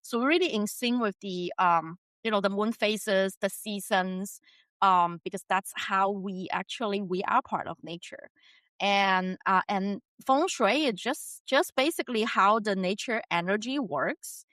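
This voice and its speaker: A woman who grew up in China speaks English. Her voice is bright and forward, recorded close and dry. She chats easily and laughs often as she explains. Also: mid-range voice, unhurried, no echo. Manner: chatty, laughs often between points. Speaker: podcast guest. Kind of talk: casual interview conversation.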